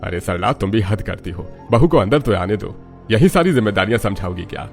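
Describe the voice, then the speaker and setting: Man voicing deep, fatherly older father-in-law. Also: lively intonation, a little fast, a little mellow, soft background music. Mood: amused. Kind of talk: Hindi moral cartoon story dialogue.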